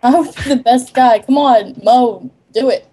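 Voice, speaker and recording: A woman's voice: sits high, unhurried, warm tone, breathy edge. A woman reading a script aloud and chatting casually in a group of friends. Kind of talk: casual chat and read-aloud among friends.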